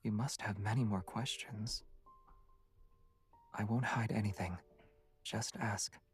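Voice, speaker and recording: An actor playing a guarded, secretive young starship captain, speaking softly and in a hush. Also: male voice, on the low side, steady tone, slow, soft background music. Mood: calm.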